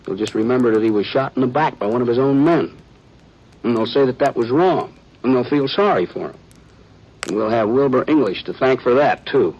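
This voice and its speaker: A man's voice: medium pitch, fairly quick, husky, natural voice. An actor playing a chatty, folksy small-town lawman in an old-time radio western, with an American accent and a musing way of talking. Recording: muffled, noisy vintage radio recording.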